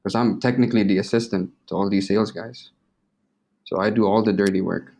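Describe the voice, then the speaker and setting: Low-pitched young man, casual and slangy. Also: fairly even intonation, fairly quick, darkish tone. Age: 20s. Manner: informal, slangy, swearing. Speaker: interview guest. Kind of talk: casual interview chat.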